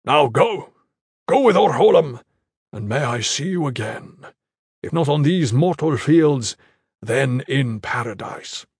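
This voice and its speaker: A man voicing a stern, curt commander of an elite guard in an audiobook story, with a gruff, gravelly voice. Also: mid-range voice, a little slow, darkish tone, dry recording, studio quality. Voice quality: gravelly, husky.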